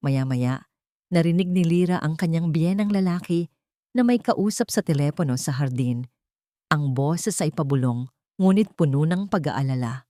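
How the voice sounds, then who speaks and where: A woman's voice: low-pitched, animated, normal speed, mellow, no echo, clean studio sound. A woman reading scripted, dramatic story narration.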